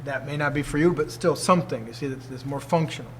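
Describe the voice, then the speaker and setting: A man's voice: on the high side, fast, a bit bright, slight background noise. Emphatic older man teaching students. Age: 60s. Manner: emphatic, rhetorical questions, laughs often. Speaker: martial arts teacher. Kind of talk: informal teaching talk to students.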